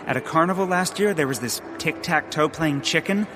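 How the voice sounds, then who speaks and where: A man's voice: fairly high pitch, fairly quick, natural voice. A man playing a nervous, earnest, eccentric naturalist with an awkward manner in a comedic audio drama. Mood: deadpan. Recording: music behind the voice.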